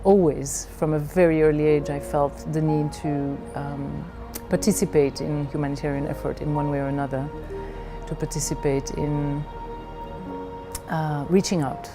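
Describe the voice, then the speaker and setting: A middle-aged woman from Belgium telling her personal story in a reflective way, haltingly searching for her words. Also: sits low, flat delivery, over music. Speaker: leadership coach.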